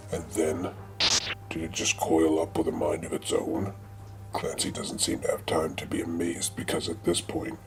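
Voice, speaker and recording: A man with a gravelly voice narrating a comedy script in a deadpan, slangy, mock-dramatic way. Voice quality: very deep, gravelly. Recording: music behind the voice.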